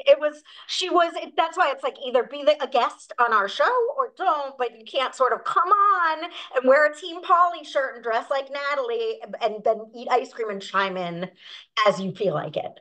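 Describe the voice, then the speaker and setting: Woman, fairly high voice, chatty co-host, stumbling, casual, video call. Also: moderate pace, sharp tone, rough recording. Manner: chatty, stumbling over words.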